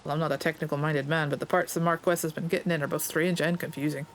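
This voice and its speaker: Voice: mid-range voice, fairly even intonation, fast, fairly bright tone, a little hiss or hum, natural voice. A player reading aloud the lines of a plainspoken Scottish stable master in a game, in a folksy, understated way.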